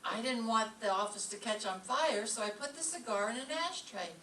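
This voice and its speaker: Droll female schoolteacher, played by a woman, deadpan radio comedy, slightly high voice, clearly noisy recording. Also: normal speed, lightly acted. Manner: deadpan, wisecracking. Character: droll, put-upon female English teacher. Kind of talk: old-time radio comedy acting. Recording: noisy echoey stage, distant mic.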